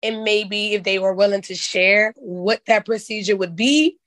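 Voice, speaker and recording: Hoarse woman hosting a chat show. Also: medium pitch, measured pace, fairly bright tone, no echo. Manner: folksy and candid, says "child". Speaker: podcast host. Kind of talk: casual chat.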